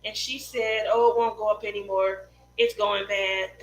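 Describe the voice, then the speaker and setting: A woman's voice: medium pitch, level tone, normal speed, a little hiss or hum, poor audio. A middle-aged woman chatting casually with live viewers as host of a craft stream, telling personal stories in a rambling way.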